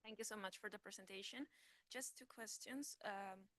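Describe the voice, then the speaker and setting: A woman's voice: medium pitch, moderate pace, a bit bright, no room sound. A woman from Peru, a student, politely asking questions at a seminar, hesitant with many fillers.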